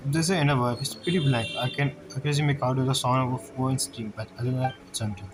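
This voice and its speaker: A man's voice: medium pitch, steady tone. Male gamer commentating over game music. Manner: halting, non-fluent phrasing. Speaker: gamer, let's-play commentator. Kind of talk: casual game commentary, reading dialogue aloud.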